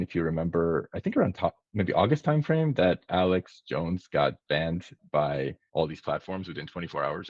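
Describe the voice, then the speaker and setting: A man's voice: rumbling low, creaky, breathy edge, dry recording. A man in his thirties from Bosnia, giving a long earnest interview answer over a video call from a home office, restarting his sentences as he explains. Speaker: startup founder, podcast guest. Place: indoor room, home office. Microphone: video call, webcam framing. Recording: dull lo-fi video call audio.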